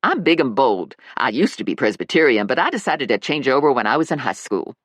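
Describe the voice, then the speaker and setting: Woman playing a brassy bold sidekick, blunt, low lively voice, dry sound. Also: a little fast, dry recording, full character voice.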